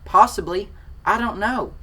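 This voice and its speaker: Teen photography vlogger, Kentucky country accent, chatty and excitable, talking from a home office. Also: male voice, sits high, a little slow. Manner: excitable, chatty, defensive then joking. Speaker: photography channel host. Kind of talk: casual Q&A reading comments.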